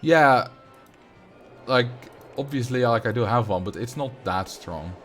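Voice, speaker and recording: A man's voice: mid-range voice, unhurried, faint music behind. A Dutch man with a mild Dutch accent, chatting casually through a game livestream and answering his chat. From Netherlands. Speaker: streamer. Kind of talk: casual livestream commentary while playing a game.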